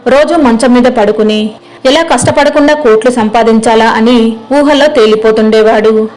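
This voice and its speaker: A woman's voice: on the high side, normal speed, a little mellow, low-quality recording. A woman storyteller reading scripted narration for a moral tale.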